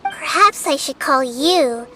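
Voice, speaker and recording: A woman's voice: high-pitched, expressive, takes it slowly, bright, forceful tone, a little hiss or hum, exaggerated voice. A woman playing a small, childlike goddess of wisdom in a video game, speaking in a composed, articulate, probing way. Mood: calm, probing. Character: wise, calm, childlike little female deity of wisdom. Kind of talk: scripted video game dialogue. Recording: game music behind.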